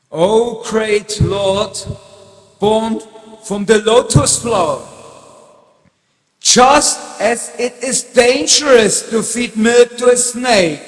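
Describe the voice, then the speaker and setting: In a cavernous echo, a high-voiced god acted by a man speaks very deliberately. He is solemn, commanding and benevolent, declaiming as in sacred drama. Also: fairly bright tone. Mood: grave warning. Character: male Supreme Lord, half-lion deity, solemn, commanding, benevolent. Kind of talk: slow dramatic scripture reading. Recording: echoey hall, long reverb.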